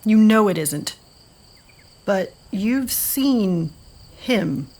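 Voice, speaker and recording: A woman's voice: medium pitch, expressive, very slow, mellow, heavily acted. A wary, bitter woman played by an actress with a mid-range voice. She spars in a pointed, guarded manner, in the style of an audio drama. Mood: wary, cutting.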